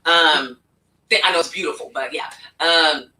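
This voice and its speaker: Bright-voiced woman host, roomy and distant. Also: deep voice, normal speed. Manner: curious, chatty, asks probing questions. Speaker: podcast host. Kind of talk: casual podcast interview. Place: home studio.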